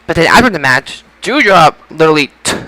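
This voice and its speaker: A man's voice: fairly high pitch, big pitch swings, a little fast, bright, forceful tone, poor audio. A man hosting a casual podcast about wrestling results, speaking emphatically and often repeating and stumbling over phrases. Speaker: podcast host.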